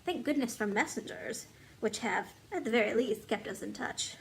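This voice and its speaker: A woman voicing a loyal, determined woman, a king's wise old friend, in earnest, measured storybook dialogue. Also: a little high, lively intonation, gravelly, a little hiss or hum, pitched above the performer's own voice, low-quality recording. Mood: glad.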